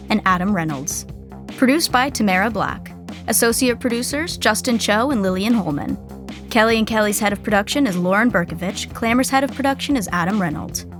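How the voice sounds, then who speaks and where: A woman reading scripted comedic narration for a sitcom. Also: fairly high pitch. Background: music.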